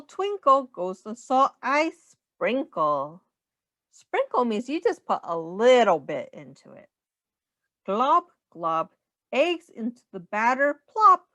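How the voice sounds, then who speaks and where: A woman's voice: fairly high pitch, sharp tone, no room sound. A woman reading a children's picture book aloud in a sing-song way, as a teacher speaking simply to young children.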